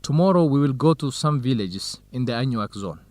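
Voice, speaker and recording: An actor with an Ethiopian accent playing an organized, courteous, gently grumbling male researcher in an educational radio drama, speaking in a measured, explanatory way. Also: medium pitch, animated, no room sound, lightly acted. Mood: helpful, practical.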